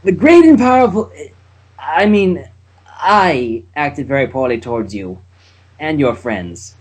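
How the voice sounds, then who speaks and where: Clingy unicorn showmare, soft and slow, read by a man in a husky voice for a fan reading. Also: fairly high pitch, sing-song, exaggerated voice. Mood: nervous. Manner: soft, slow, slightly flirty.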